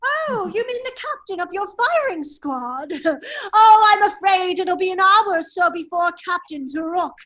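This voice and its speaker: Woman as a very high-pitched, drawling foppish gentleman. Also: expressive, a little slow, full character voice. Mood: gleeful mockery. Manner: affectedly polite, drawling, giggly. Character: foppish, teasing gentleman hero, secretly daring, English. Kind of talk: theatrical radio drama table read.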